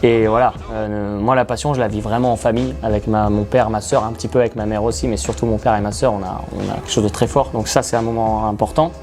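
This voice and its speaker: A man's voice: on the low side, steady tone, fast, few pauses, darkish tone. Shy, earnest French man with music behind. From France. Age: 30s. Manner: earnest, self-described shy, frequent hesitations. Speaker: horse racing commentator. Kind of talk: interview answers.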